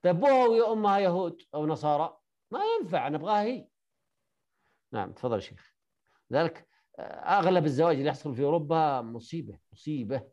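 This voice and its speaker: Guy teaching fiqh online, quick questions, talks fast and kinda high. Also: expressive, a little mellow, lo-fi sound. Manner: brisk, questioning, corrective. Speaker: teacher of Islamic jurisprudence. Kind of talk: online lesson, explaining and quizzing students.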